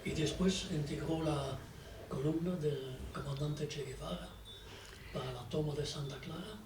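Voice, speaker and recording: A man's voice: fairly high pitch, steady tone, measured pace, darkish tone. Male interviewer, off-mic and very echoey, prompting a guest, faint and distant over ambient noise.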